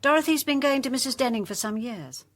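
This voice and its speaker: An actress playing a brisk, analytical woman investigator in a radio drama, breathy and precise in delivery. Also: sits high, expressive, noisy background. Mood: pondering.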